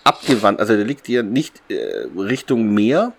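Low-voiced man in casual podcast talk. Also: huge pitch swings, normal speed, creaky. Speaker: podcast host.

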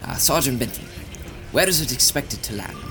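A man playing an eccentric older gentleman scientist in an audio drama, genteel, deliberate and faintly pompous, with a British accent. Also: mid-range voice, animated, music in the background, close to an everyday voice. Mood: grave, assured.

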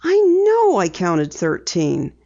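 A woman voicing an eager, earnest teenage girl sleuth in a storybook reading, high and insistent. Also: huge pitch swings, takes it slowly, creaky, highs cut off, full character voice. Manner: bright, earnest, high-pitched pleading.

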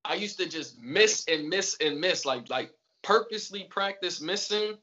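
A man with a Southern accent talks about music as a podcast guest. He is laid-back and easygoing, and his voice is bright, forceful and a bit high. The audio is dull and muffled. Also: lively intonation, moderate pace. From Atlanta. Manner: laid-back, repeats yes often. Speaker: rapper guest. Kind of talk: casual interview chat.

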